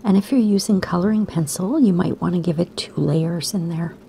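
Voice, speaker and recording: Low, gravelly, half-whispered woman teacher. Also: a little fast, a little hiss or hum. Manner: soft, half-whispered, gentle instructions. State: raspy, slightly sore throat. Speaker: art teacher for kids. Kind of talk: step-by-step drawing lesson. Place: home room by a window.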